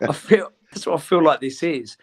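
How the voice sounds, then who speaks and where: A man's voice: fairly high pitch, moderate pace, slightly rough. A man, hosting a casual group chat over a video call, chatty and self-deprecating. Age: 30s. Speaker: host.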